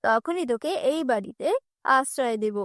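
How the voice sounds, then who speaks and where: A woman's voice: a little high, animated, normal speed, fairly bright tone, no room sound, studio quality, pitched below the performer's own voice. A woman voicing a cruel middle-aged stepmother in a cartoon, scolding and threatening. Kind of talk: cartoon dubbing.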